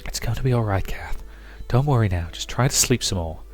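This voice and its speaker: A man's voice: fairly low pitch, expressive, a little fast, faint music behind, lightly acted. Man voicing an earnest, protective young woman. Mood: soothing. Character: concerned, protective young woman friend of a noblewoman.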